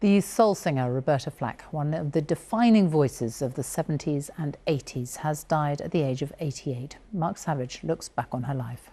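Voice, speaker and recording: A woman's voice: low voice, normal speed, hardly any pauses, mellow. A British woman presenting news from a script.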